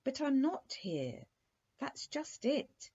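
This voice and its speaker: A woman's voice: fairly low pitch, lively intonation, measured pace, mellow. A woman voicing a courteous, wistful young prince in a storybook, polite and a little plaintive. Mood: politely earnest. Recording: dull, muffled lo-fi recording.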